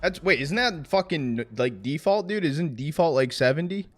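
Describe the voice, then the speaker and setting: A young man in his twenties gives a loose, rambling reaction to a match, swearing without a second thought. His voice sits a little high and swings widely as he goes. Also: expressive, moderate pace. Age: 20s. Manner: chatty, swears freely, rambling asides. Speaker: streamer watching a match. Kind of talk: casual co-stream reaction.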